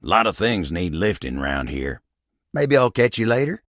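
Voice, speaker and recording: An actor voicing a gentle, folksy living table in a video game, with a gravelly American Southern drawl and a humble, earnest manner. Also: male voice, deep voice, very animated, moderate pace, no room sound, studio quality, exaggerated voice. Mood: friendly, reassuring. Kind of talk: video game character voice acting.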